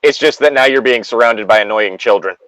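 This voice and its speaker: A man's voice: medium pitch, brisk, fairly bright tone, clean tone, no echo, poor audio. A man bantering casually and reading a story aloud with friends over voice chat. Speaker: co-reader on a group creepypasta reading show. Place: online voice chat.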